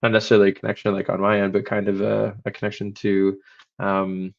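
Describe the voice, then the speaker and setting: Man, podcast host, flat gravelly voice, dry dull lo-fi sound. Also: lowish voice, fast talker, no room sound. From Canada. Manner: rambling, hesitant, many fillers and restarts. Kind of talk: casual podcast interview.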